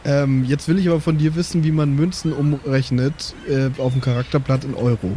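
A man's voice: mid-range voice, steady tone, a little fast, warm tone, slight background noise. A man chatting casually as a player in an improvised tabletop role-playing podcast. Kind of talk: casual improvised game chat.

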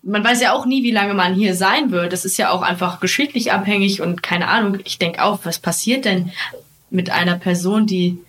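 A young woman hosting a podcast, chatting in a curious, colloquial and lively way. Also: mid-range voice, moderate pace. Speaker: podcast host, actress. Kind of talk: casual podcast chat.

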